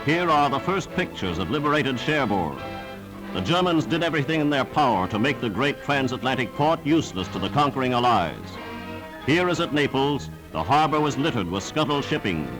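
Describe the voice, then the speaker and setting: A man's voice: medium pitch, big pitch swings, brisk, rough recording. A man narrating a 1940s wartime newsreel in a formal, declamatory scripted style. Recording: 1940s newsreel soundtrack with music.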